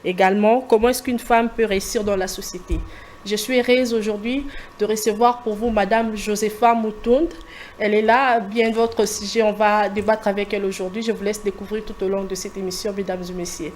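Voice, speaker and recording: A woman's voice: mid-range voice, steady tone, brisk, a little mellow. A woman hosting a conversational TV interview, putting questions to her guest. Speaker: talk show host interviewing a guest. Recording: clearly noisy background ambience.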